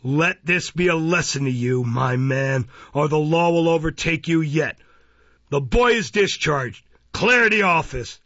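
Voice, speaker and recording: A pompous, bullying magistrate from a classic novel , acted out by a male reader, barks in a raised, gravelly voice. He is contemptuous and peremptory, with a husky edge, on a dull recording. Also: fairly high pitch, a little slow, fairly bright tone, no room sound. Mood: pompous rebuke. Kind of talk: dramatised audiobook reading of a classic novel.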